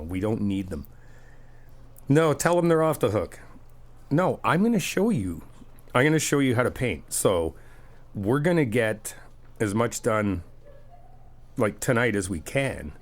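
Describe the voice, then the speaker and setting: A man doing a friendly ASMR roleplay, chatty and teasing, reassuring the listener as he helps out. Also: mid-range voice. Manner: reassuring, helpful.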